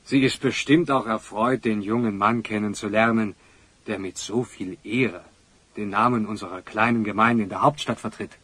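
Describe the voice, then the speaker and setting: A man playing a pompous, florid older wealthy magnate with a husky voice in a children's audio drama. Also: lowish voice, normal speed, fairly bright tone, dry recording. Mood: condescending.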